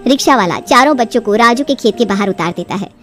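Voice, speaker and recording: A woman's voice: a little high, animated, quick pace, a bit bright, faint music behind. A woman narrating a scripted horror fairy tale as a storyteller.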